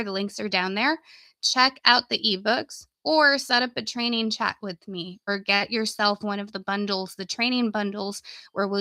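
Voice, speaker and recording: Bright-voiced woman, slightly under the weather. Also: medium pitch, no echo. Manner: informal, folksy, addressing viewers directly. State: getting sick, losing her voice. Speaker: online coach and live stream host. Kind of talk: casual live stream chat and sales pitch.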